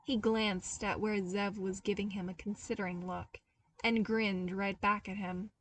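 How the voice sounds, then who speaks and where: Slow-paced woman reading fiction aloud, slightly distant. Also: mid-range voice, level tone. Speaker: podfic reader. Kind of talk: expressive fan fiction narration, reading aloud.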